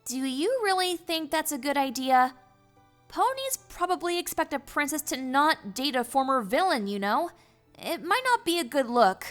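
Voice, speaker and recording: A woman's voice: high voice, sing-song, normal speed, fairly bright tone, soft background music. A woman voicing an anxious, earnest young pony princess in an audio story, hesitant and questioning. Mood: worried. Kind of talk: scripted character voice in audio story.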